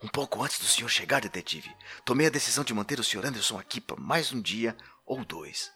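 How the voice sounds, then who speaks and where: A man's voice: medium pitch, expressive, fairly quick, bright, forceful tone, soft background music. A man playing a pompous, sarcastic, corrupt police captain who interrogates theatrically in a comedic audio drama. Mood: cold, secretive.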